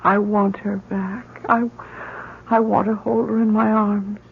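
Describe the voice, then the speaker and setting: Radio melodrama role: bereaved wife, desperate and fragile, very slow speech, muffled old recording with noise. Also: female voice, medium pitch, level tone, creaky. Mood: dazed, subdued. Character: grief-stricken, pleading wife and bereaved mother.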